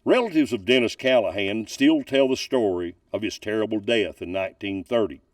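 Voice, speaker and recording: A man reading a ghost story aloud from a book as a storyteller, with deliberate, dramatic emphasis. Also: on the low side, no echo, clean studio sound, recorded from a distance. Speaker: narrator reading ghost stories.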